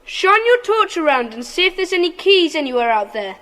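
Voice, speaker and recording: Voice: very high-pitched, big pitch swings, a little fast, sharp tone, heavily acted. An actor playing a bold, earnest schoolboy gang leader in a children's audio drama. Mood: urgent, relieved. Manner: earnest, commanding, urgent. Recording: echoey hall reverb.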